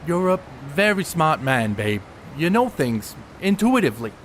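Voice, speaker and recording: Male actor as secretive educated ballplayer, dry precise wording, lively intonation, 1930s stage play, slight background noise. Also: a little high, normal speed. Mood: blunt, exasperated. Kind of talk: amateur stage play acting, 1930s period dialogue.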